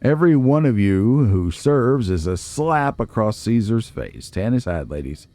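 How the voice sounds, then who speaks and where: Male let's play host: low, dark tone, folksy, chatty, thinking aloud as he plays. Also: very animated, unhurried. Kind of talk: casual gameplay commentary.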